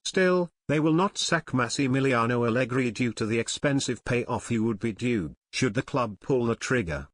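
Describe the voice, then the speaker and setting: A man delivers scripted football news as a narrator, in a formal, journalistic manner. His voice sits at a medium pitch, and the sound is dry and close, free of room reflections. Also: a bit bright, no room sound.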